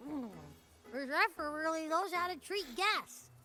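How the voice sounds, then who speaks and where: A woman's voice: very high-pitched, unhurried, bright, forceful tone, exaggerated voice. A woman voicing a small, gluttonous, playful creature companion in anime game dialogue, chatty and full of exclamations. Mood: delighted, greedy. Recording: game soundtrack behind the voice.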